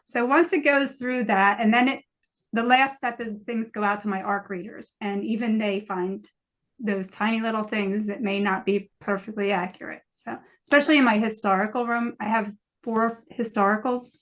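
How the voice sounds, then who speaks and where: A woman's voice: mid-range voice, fairly even intonation, moderate pace, a bit bright. An American woman, a novelist, talking casually as a guest on a podcast. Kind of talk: casual interview chat.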